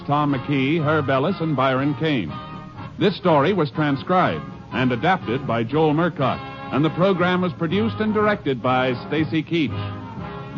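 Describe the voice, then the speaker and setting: An American man announcing a radio drama in formal 1950s style on an old recording. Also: fairly high pitch. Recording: dull old radio recording, loud music. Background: loud music.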